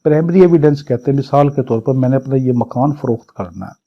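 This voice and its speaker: A man's voice: mid-range voice, quick pace, highs cut off. A man with a Pakistani accent lecturing for a law exam, reading clauses and explaining them in a didactic, repetitive, question-and-answer way.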